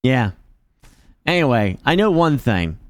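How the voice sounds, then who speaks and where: A man's voice: medium pitch, big pitch swings, measured pace, dry recording. A man in his forties chatting casually with his stream viewers, joking and self-mocking. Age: 40s.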